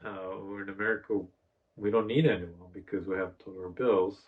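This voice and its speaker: A man's voice: deep voice, steady tone. A man from Bulgaria with a Bulgarian accent, earnestly telling stories in a casual conversation as a podcast host. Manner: earnest, storytelling, halting restarts. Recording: dull lo-fi sound.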